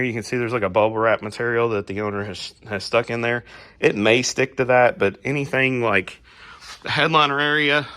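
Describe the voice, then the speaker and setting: A man hosting a casual garage how-to vlog while working on a car, his voice a little raspy as he gets over a cold, talking in a laid-back, rambling way with plenty of fillers. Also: medium pitch, a bit bright. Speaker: garage channel host.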